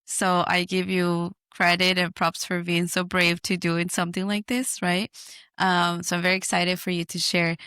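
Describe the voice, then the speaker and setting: A woman's voice: fairly low pitch, level tone, moderate pace, pushed and bright, clean tone, no room sound. A woman hosting a conversational podcast interview, with an effusive, encouraging manner. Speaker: podcast host.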